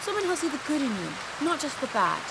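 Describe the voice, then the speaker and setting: An actress playing a blunt, nosy British office worker in an audio comedy drama, expressive and prodding. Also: female voice, high voice, fast, gravelly, heavily acted. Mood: earnest, exasperated. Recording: steady rain and hiss behind.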